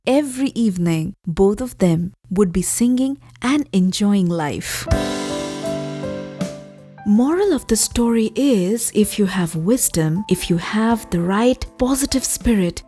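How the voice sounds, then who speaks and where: A woman hosting a podcast, narrating folktales expressively with dramatic, deliberate phrasing. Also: mid-range voice, dark tone, slightly rough. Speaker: podcast host and storyteller. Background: music.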